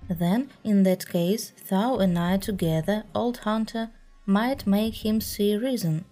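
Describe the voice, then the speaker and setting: A woman's voice: mid-range voice, slow pace, warm tone, soft background music, natural voice. A woman voicing a grieving, fretful old male bear who laments and pants as he speaks. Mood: earnest. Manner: lamenting, panting.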